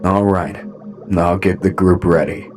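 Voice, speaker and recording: Man playing a grave, slow, deep robot leader. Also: fairly even intonation, slight background noise. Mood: reluctant, concerned. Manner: deliberate, measured. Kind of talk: audio drama acting.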